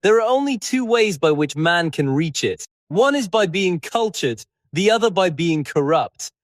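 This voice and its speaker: A man voicing a witty, cynical upper-class gentleman with a languid, flippant manner for an audiobook. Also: sits high, expressive, unhurried, no room sound, clean studio sound, voice pushed up. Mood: amused, cynical. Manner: languid, flippant, epigram-making. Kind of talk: audiobook character voice.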